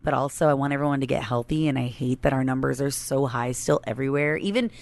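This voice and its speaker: Chatty low-pitched woman, late thirties. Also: quick pace, vocal fry, a little mellow. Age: late 30s. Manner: chatty, informal, laughs easily. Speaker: podcast co-host. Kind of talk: casual banter with spouse.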